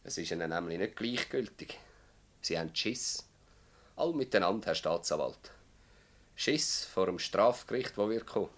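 A man's voice: sits low, expressive, normal speed. An actor playing an anguished, accusing village man in a radio drama, intense and brooding, with a Swiss German accent. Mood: bitter, hushed. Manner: intense, brooding, swinging between hushed and loud.

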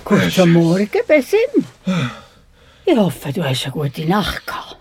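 A woman's voice: fairly low pitch, huge pitch swings, measured pace, darkish tone, slightly rough, heavily acted. An actress with a Swiss German accent playing a doting, eerily motherly old mountain woman in an audio drama. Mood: cheerfully doting. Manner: cheerful, fussing. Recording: some background noise and ambience.

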